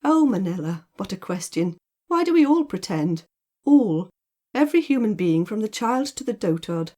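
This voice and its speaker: Storybook male lead, woman performing: idle, teasing, educated gentleman, theatrical delivery, soft-edged warm tone. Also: medium pitch, big pitch swings, normal speed. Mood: mock-serious, animated. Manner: theatrical, rhetorical, playful exclamations. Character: teasing, lazy, mock-solemn educated man with mellow laugh. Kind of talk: audiobook character dialogue.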